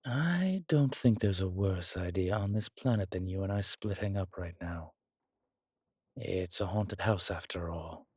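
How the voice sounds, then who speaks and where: A man playing a long-lived demonology professor in an audio roleplay, calm, protective and gently wry, speaking in a measured, deliberate way. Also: deep voice, breathy edge, dry recording. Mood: protective, steady. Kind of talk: slow intimate audio roleplay acting.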